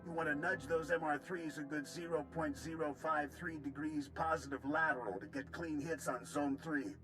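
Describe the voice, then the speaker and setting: A man plays a chipper, folksy gunnery officer in a video game. His voice is a bit high and his delivery breezy and technical, over music. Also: fairly even intonation, moderate pace, a bit bright, natural voice, poor audio. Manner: breezy, technical military jargon. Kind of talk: video game cutscene acting. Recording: game soundtrack music behind.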